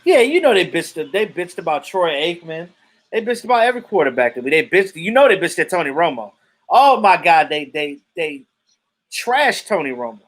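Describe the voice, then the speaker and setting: A man's voice: a little high, big pitch swings, moderate pace, fairly bright tone. A man in his thirties who hosts a live stream, chatting loosely about football and answering viewers, outspoken and swearing freely. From Jamaican family roots. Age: 30s. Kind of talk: casual sports chat reading viewer comments.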